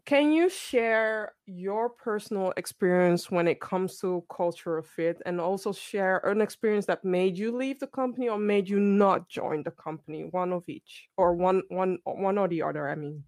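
A woman's voice: medium pitch, moderate pace, few pauses, a little mellow, no room sound, studio quality. A woman hosting a panel discussion, earnest and conversational, often restarting her sentences. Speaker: host.

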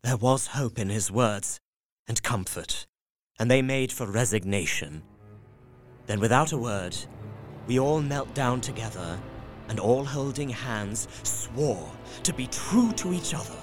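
Male narrator, first person, gothic audio drama, earnest, mid-pitched, soft music under. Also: expressive, measured pace. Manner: earnest, heartfelt storytelling. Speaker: narrator and young husband character.

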